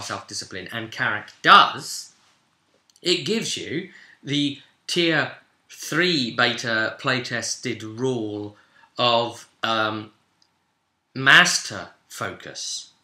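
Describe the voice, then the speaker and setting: A man talks casually as a game master looking back over a tabletop session. His voice is very bright and sharp, at an average pitch, and he rambles along as a storyteller. The room adds clear echo. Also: expressive, slow. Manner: rambling, chuckling storyteller who acts out dialogue. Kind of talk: casual vlog recap of a tabletop role-playing session. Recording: echoey room sound.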